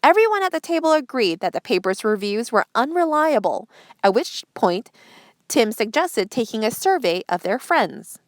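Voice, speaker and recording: High-voiced woman reading with lively intonation. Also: moderate pace, no echo, studio quality. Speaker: host reading articles aloud. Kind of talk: scripted narration, read-aloud news feature.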